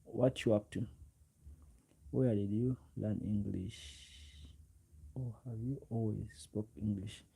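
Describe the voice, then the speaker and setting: A man in his twenties with a Ugandan accent and a low, creaky voice talks to his livestream viewers. He chats casually, addressing people directly as he answers their comments, and often says a phrase over again. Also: steady tone, takes it slowly, pauses often, mellow. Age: 20s. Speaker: live streamer.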